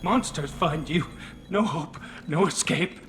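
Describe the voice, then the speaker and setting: An actor playing a traumatized survivor who mutters in halting, repetitive broken phrases. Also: male voice, fairly high pitch, fairly bright tone. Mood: frightened. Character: terrified man babbling in fragments, traumatized survivor. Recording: game audio with clear background noise.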